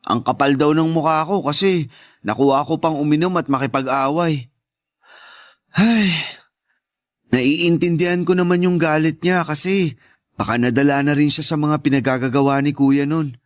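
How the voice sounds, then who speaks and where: A man with a Filipino accent playing a shy, gentle, self-blaming teenage younger brother in a radio drama, speaking meekly. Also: on the high side, moderate pace, long pauses, no echo, studio quality, natural voice. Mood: subdued, hurt. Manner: meek, self-deprecating. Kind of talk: Tagalog radio drama acting.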